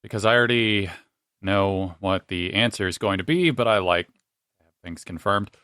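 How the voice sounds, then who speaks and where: A man's voice: sits low, normal speed, a bit bright, clear, resonant tone, dry recording, clean studio sound. A man playing a tabletop role-playing game, talking casually and partly in character.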